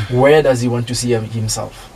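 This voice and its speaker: A man hosting a community radio interview in a studio, speaking politely with many pauses and fillers. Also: medium pitch, steady tone, gravelly, a little mellow, slight background noise. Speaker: radio host.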